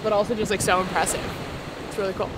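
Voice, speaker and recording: A young woman chats informally on a boat in the open air. Her pitch is average for a woman, and background noise is clearly heard in the camera audio. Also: flat delivery, fast. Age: young adult. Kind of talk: casual chat. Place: outdoors on a boat. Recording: noisy outdoor boat audio.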